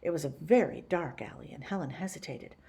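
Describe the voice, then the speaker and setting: A woman reading a children's picture book as a story-time host, with theatrical, deliberate emphasis. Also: low voice, expressive, vocal fry, warm tone. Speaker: library story-time reader.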